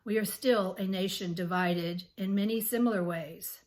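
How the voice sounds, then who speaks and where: A woman's voice: mid-range voice, moderate pace. Older woman with a scratchy voice. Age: 60s. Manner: earnest, soft-spoken, with throat clearing. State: scratchy voice from illness. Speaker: channel host. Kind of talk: reflective reading to camera.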